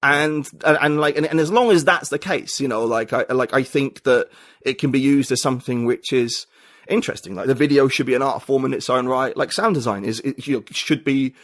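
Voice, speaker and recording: A man's voice: mid-range voice, a little fast, a bit bright, no echo. Rambling man, dry close sound. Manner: rambling, many restarts and fillers, thinks aloud. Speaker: podcast guest, writer and poet. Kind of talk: casual conversational interview.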